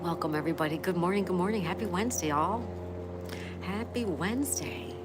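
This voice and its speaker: Low-voiced woman in her sixties, noisy background. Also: sing-song, a little fast. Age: 60s. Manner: chatty, greets viewers and repeats phrases. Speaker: livestream host. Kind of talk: casual live chat, reading viewer comments aloud.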